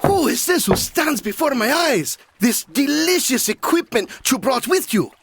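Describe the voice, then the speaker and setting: An actor playing a flirtatious Cuban boat owner in a comedy audio drama, with a Spanish accent and florid, courtly broken English. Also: male voice, extremely high pitch, big pitch swings, unhurried, heavy background noise, exaggerated voice. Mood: smitten. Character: flirtatious Cuban man, flowery boat owner in 1950s Havana.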